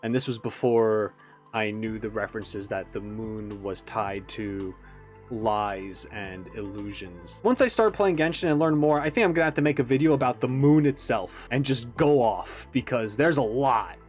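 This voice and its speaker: A man giving a casual, animated reaction commentary, thinking aloud as he analyzes like a game fan. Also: lowish voice, moderate pace, soft background music.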